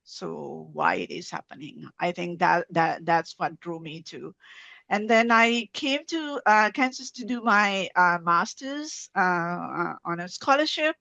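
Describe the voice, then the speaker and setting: A woman with a Sri Lankan accent gives an unscripted interview answer. Her voice is a little low, and she hesitates and repeats words often. The recording is somewhat dull and lo-fi. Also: a little slow, creaky, sharp tone, dry recording. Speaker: guest, soil science researcher. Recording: dull, lo-fi sound.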